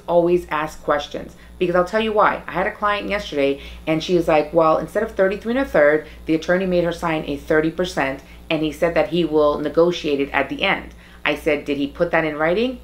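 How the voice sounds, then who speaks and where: Low-voiced, emphatic woman from Guyana. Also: rough recording. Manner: emphatic, repeats key points, pleading. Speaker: interview guest advising the community on legal cases. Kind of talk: direct, conversational advice.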